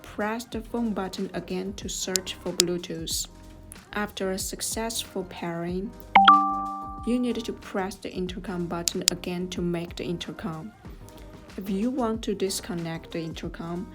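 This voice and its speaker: A woman reading scripted step-by-step tutorial narration in a measured, instructional manner. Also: on the low side. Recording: voice over clear background music. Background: music.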